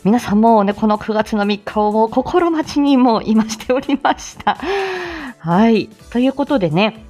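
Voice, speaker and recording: A woman's voice: fairly high pitch, animated, moderate pace, warm tone, soft background music. A woman hosting a casual live audio show, chatting with and greeting listeners and laughing often as she talks. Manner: laughs often while talking.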